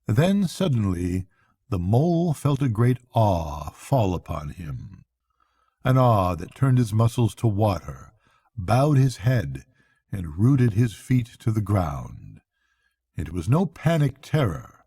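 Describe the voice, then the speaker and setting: A man's voice: lowish voice, big pitch swings, slow pace, mellow, slightly rough, no room sound. An older man narrating a classic storybook as bedtime reading.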